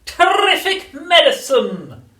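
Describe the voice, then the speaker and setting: A wild, scrawny old grandmother from a storybook shrieks in a high, screechy voice. She drags her words out in long, loud yells. The sound is roomy and set back from the mic. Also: wildly swooping pitch, heavily acted. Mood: gleeful yelling. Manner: loud, drawn-out yelling. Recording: roomy, from a distance.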